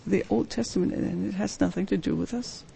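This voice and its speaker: A man preaching a sermon in English, which is not his first language, halting and restarting often and asking his listeners questions. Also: sits high, steady tone, gravelly, a crowd in the background.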